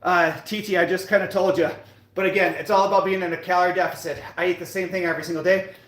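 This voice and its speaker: A man's voice: high-pitched, steady tone, quick pace, a bit bright. An American man in his late thirties chatting casually to livestream viewers during a workout, with a motivational, repetitive way of talking. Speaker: fitness live streamer. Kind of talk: casual livestream chat while working out. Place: indoor gym. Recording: echoey room.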